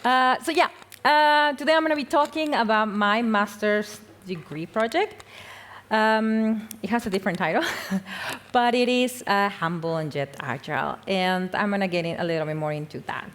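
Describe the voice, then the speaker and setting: A woman's voice: medium pitch, moderate pace, fairly bright tone. A young woman from Peru, giving a casual, self-deprecating conference talk full of fillers and small laughs. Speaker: conference speaker. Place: conference hall.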